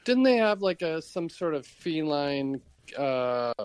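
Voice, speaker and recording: A man co-hosting a podcast, chatting casually and often pausing to search for his words. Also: on the high side, very animated, darkish tone, clean tone, no room sound. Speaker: podcast co-host. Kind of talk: casual chat.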